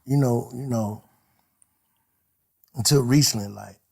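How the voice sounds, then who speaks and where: Male interviewer, American accent. Raspy, creaky voice, casual and reflective delivery. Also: medium pitch, takes it slowly, a little mellow.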